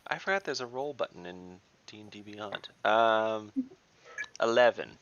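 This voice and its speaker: A man's voice: fairly low pitch, animated, measured pace, a bit bright. A man playing an online tabletop game, chatting and joking casually with the group. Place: indoors, online call. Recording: dull, slightly noisy online-call audio.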